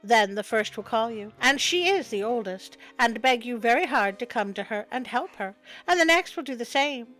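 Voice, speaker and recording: A blunt old man of a folk tale, read out by a woman in a fairly high voice. The delivery is deadpan and instructive, storybook style, with soft music beneath. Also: moderate pace, poor audio. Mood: instructing. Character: blunt old man giving strange directions. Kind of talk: storybook character reading.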